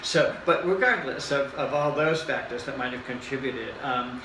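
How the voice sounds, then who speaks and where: A man's voice: medium pitch, moderate pace, roomy sound, noisy background. A man interviewing a teacher, speaking hesitantly with many fillers and often murmuring agreement. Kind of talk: reflective interview.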